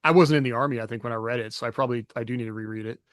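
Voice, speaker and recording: Mid-pitched male co-host, thoughtful and halting, dry close sound. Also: a bit bright, no echo. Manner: reflective, hesitant, laughs often. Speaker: podcast co-host, author. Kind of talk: casual chat.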